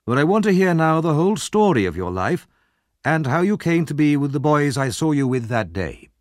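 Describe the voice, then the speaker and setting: A kindly, steadfast older gentleman from a storybook, played by a male reader. He sounds earnest and measured, slightly raised in pitch, with lively movement. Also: dry recording, clean studio sound. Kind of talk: audiobook character reading.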